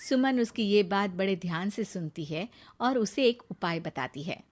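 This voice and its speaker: A woman's voice: medium pitch, lively intonation, moderate pace, soft background music. A woman reading scripted narration for a Hindi moral story as its storyteller.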